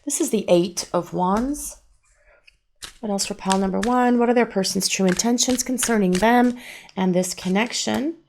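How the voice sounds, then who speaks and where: A middle-aged woman leads a pick-a-card tarot reading in a calm, measured voice. She sits in an ordinary middle pitch and asks the same question softly again before each card. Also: gravelly, slight background noise. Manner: calm, measured, gently repetitive. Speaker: tarot reader.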